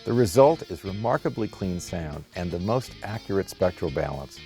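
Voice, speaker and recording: Deep-voiced man giving a promotional presentation. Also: expressive, normal speed, warm tone, soft background music. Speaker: company spokesman. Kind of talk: polished promotional product explanation.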